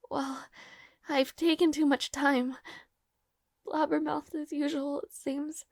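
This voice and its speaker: A woman playing a devoted, lovelorn young maid in an intimate fantasy audio roleplay, speaking formally and haltingly, tearful and earnest, with soft breathy moments. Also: high voice, no echo, clean studio sound, heavily acted.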